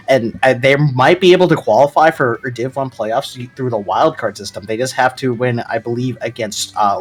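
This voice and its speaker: A man commentating a live esports match, excitable and hoarse, stumbling over his words and leaning on "here". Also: medium pitch. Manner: excitable, stumbling restarts, pet phrase "here". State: losing his voice.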